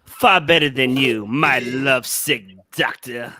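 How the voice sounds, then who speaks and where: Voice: medium pitch, measured pace, bright, forceful tone, a little hiss or hum. A performer reading a deranged, wheedling asylum patient in a theatrical gothic horror play. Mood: sly, manic. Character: deranged asylum patient craving living creatures, wheedling and manic. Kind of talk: amateur script read-through of a gothic horror play.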